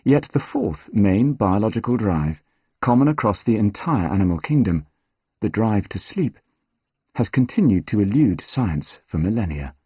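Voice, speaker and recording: A man reading scripted nonfiction as an audiobook narrator, measured and articulate, with dramatic emphasis. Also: on the low side, very animated, slightly rough, dry recording, dull audio.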